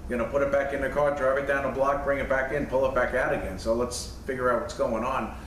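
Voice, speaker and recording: A man's voice: mid-range voice, little pitch movement, fast talker, a bit bright. A man in his fifties with a New York accent, talking casually and rambling a bit with fillers while he works on a transmission in a repair shop. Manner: plainspoken, rambling with fillers, hands busy working. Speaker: transmission mechanic. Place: cluttered indoor repair shop. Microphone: camera mic, a few feet away. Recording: echoey shop, distant mic, faint hum.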